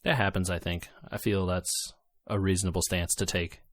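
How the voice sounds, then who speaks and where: A man's voice: deep voice, steady tone, normal speed, no room sound, clean studio sound. A man co-hosting a podcast in casual conversation about games and media. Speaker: podcast co-host.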